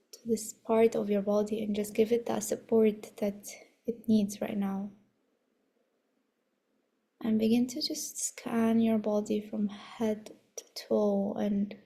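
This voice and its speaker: A woman guides a meditation in a mellow, soft voice with a noticeable crackle of fry. Her delivery is slow and soothing, giving gentle instructions with breathy touches. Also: mid-range voice. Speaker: meditation guide leading a body scan.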